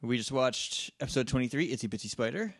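A man's voice: mid-range voice, expressive, fast. A man co-hosting a casual podcast, trading wry, teasing banter. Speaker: podcast co-host. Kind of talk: casual chat.

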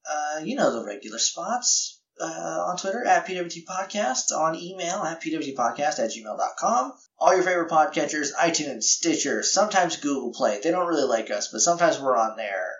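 A man's voice: a little high, very animated, a little fast, few pauses, a bit bright, reverberant, highs cut off. A man hosting a podcast, giving casual, rambling announcements in a slightly scratchy voice, often correcting himself and chuckling at his own remarks. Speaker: podcast host.

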